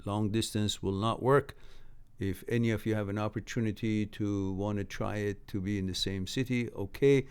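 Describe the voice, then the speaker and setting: A man's voice: on the low side, steady tone, normal speed, a little mellow, smooth. A man whose first language is Farsi chats live with his viewers, reading their comments aloud and answering them. His advice is blunt but easygoing, and he laughs often between sentences.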